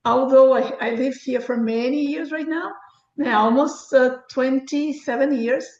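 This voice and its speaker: A woman's voice: high voice, a little slow, darkish tone. A middle-aged woman from Brazil, a native Portuguese speaker with a Brazilian accent, chatting enthusiastically as a guest author. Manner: enthusiastic, frequent restarts and laughs. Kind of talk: casual interview chat. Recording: echoey, dull lo-fi sound.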